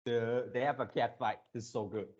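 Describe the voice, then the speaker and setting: A man hosting a casual fan reaction video, gushing excitably in halting, drawn-out phrases. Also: mid-range voice, dull audio.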